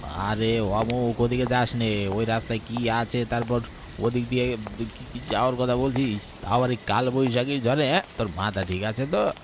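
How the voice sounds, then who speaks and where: A man playing a worried old village grandfather in a horror cartoon, admonishing and gravely telling tales. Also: medium pitch, moderate pace, background noise, lightly acted. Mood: scolding. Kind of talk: Bengali horror cartoon dialogue.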